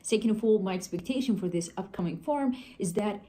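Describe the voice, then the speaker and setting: Woman from saudi arabia, enthusiastic, warm voice. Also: mid-range voice, fast. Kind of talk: recorded video message.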